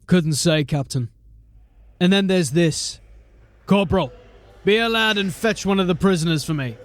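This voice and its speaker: A man voices a gruff, world-weary watch sergeant who speaks plainly and grimly. His voice sits a little high for a man. He stays steady in the way of an audio drama veteran. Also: moderate pace, no room sound, lightly acted. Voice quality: plain-spoken, grim and steady. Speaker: voice actor.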